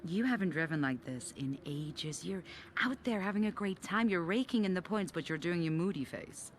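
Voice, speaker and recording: An actress playing a frank, supportive confidante of a racing driver in a video game, speaking conversationally. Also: female voice, on the low side, animated, normal speed, a little hiss or hum, lightly acted. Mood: gently teasing. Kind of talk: cinematic video game dialogue.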